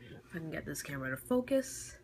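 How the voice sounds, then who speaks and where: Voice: mid-range voice, sing-song, fast, gravelly. Someone giving a casual, rambling show-and-tell of a collection, often trailing off and correcting themselves, recorded on homemade camera audio. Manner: hesitant, trailing off and self-correcting. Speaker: YouTube hobbyist presenting a collection. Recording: homemade camcorder audio with noticeable background noise.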